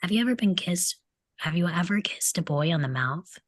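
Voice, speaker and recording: A woman's voice: fairly low pitch, expressive, normal speed, dry recording, studio quality. A woman reading the part of a mocking, crude teenage delinquent boy at a table read of a film script. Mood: taunting. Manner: mocking, needling, insolent.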